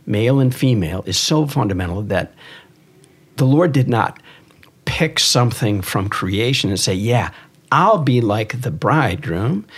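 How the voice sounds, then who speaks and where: Emphatic priest with a slightly husky voice. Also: male voice, lowish voice. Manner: emphatic, repeats key phrases. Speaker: priest and podcast host. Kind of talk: earnest religious discussion.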